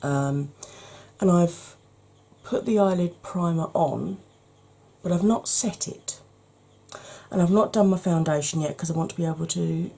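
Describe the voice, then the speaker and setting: A woman, hoarse from hay fever, chatting through a makeup tutorial step by step and speaking straight to her viewers, with frequent ums. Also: on the low side, unhurried, warm tone.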